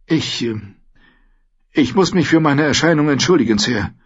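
An elderly noble prisoner in a storybook, haughty and desperate. The voice leaps high and swoops about with wounded, pompous pleading. The sound is a touch dull. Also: male voice, expressive, moderate pace, slightly rough. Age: older. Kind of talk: audiobook character acting in a fantasy novel.

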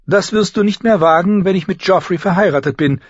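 A man's voice: fairly quick, slightly rough, no room sound, lo-fi sound. A man voicing a haughty young noble girl in a storybook, petulant and indignant. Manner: blasé, indignant, shrill when upset.

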